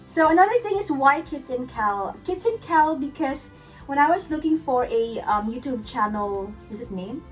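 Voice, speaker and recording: A woman's voice: high-pitched, lively intonation, normal speed, soft background music. Giggly Filipina woman, chatty vlogger. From Philippines. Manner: chatty, giggly, self-correcting. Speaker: vlogger co-host. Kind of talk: casual couple chat.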